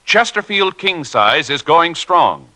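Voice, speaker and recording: A man's voice: fairly high pitch, big pitch swings, slow, sharp tone. A man reading radio commercials from the 1950s with emphatic, punchy salesmanship. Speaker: commercial announcer.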